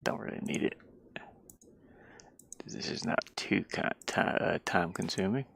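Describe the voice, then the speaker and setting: A game developer chatting casually and haltingly while working at a computer, murmuring half to themselves. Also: low-pitched, animated, vocal fry, a bit bright, husky. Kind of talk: casual screen-share chat while working. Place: inside a van.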